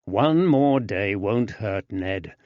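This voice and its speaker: A man voicing a calm, shrewd detective who speaks in a measured, even-tempered way, in a dull, dry audiobook recording. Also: fairly low pitch, no room sound.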